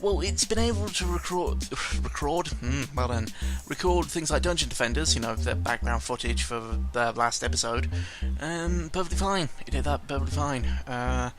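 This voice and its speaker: Self-deprecating man rambling over loud music. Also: mid-range voice. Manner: self-deprecating, sarcastic, sweary; halting, often stumbling over words. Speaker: YouTuber recording an audio log. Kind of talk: casual rambling chat. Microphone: close microphone with pop filter. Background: background music.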